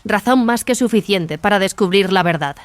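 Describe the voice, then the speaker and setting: Stern warrior sister played by a woman, smooth-voiced. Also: mid-range voice, no room sound, close to an everyday voice. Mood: resolute. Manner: firm, measured, authoritative. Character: stern, commanding warrior sister of a militant church. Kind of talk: dramatized audio fiction acting. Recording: music behind the voice.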